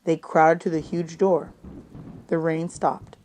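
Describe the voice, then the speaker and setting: A woman narrating an audiobook, reading literary prose aloud in an expressive, measured, dramatic way. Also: low voice. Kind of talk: dramatic story read-aloud.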